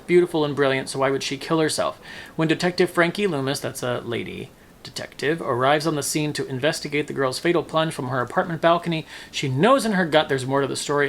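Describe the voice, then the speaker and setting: A man's voice: fairly high pitch, fairly bright tone, a little hiss or hum. A man talking casually as a book-review vlogger, with a wry, playful, emphatic delivery that draws out words. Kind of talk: casual, chatty book wrap-up.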